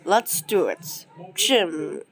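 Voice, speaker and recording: A woman voicing an excitable, bossy cartoon character in amateur animated dialogue. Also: medium pitch, drawn-out words, gravelly, faint music behind, full character voice. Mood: bossy, urgent.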